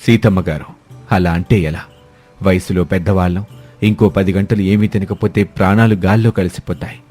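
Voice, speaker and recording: Man voicing a deep-voiced, proud older village landlord. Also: fairly even intonation, moderate pace, a little mellow, faint music behind. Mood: despairing. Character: proud older wealthy male landlord, humbled. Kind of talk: scripted moral-story cartoon dubbing.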